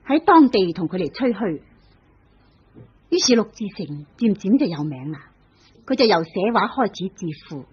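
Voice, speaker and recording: A woman giving scripted dramatic narration for an old radio play in a measured storytelling cadence. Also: medium pitch, breathy edge. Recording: dull lo-fi old radio recording.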